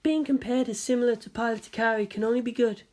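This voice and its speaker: A man voicing a quiet, detached teenage girl pilot who speaks softly, formally and cryptically. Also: extremely high pitch, fast talker, voice pushed up. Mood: serene, formal. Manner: soft, flat, formal.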